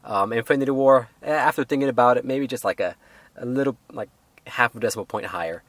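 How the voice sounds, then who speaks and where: A man reviewing a film in a casual, unscripted way, halting and rambling as he hedges his points. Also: medium pitch, fairly bright tone, no room sound.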